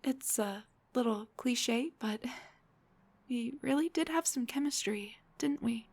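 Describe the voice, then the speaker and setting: A young woman voicing an embarrassed, self-deprecating headless dullahan on a date, in an intimate roleplay, breathy and hesitant, often slipping towards a whisper. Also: high-pitched, darkish tone, heavily acted. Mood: hesitant, surprised. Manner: hesitant, stammering, joking. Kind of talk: intimate audio roleplay acting.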